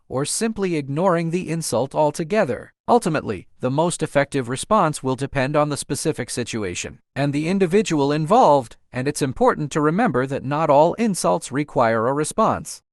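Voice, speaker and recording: A man narrating read-out online stories in a steady, even read-aloud delivery. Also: fairly high pitch, no room sound, studio quality. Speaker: story narrator.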